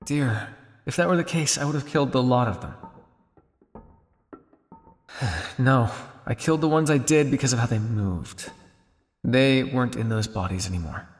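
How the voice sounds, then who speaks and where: A man playing a weary, guilt-ridden old vampire scientist in an ASMR roleplay, speaking to the listener in a measured, dryly formal way. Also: mid-range voice, big-room sound, lightly acted.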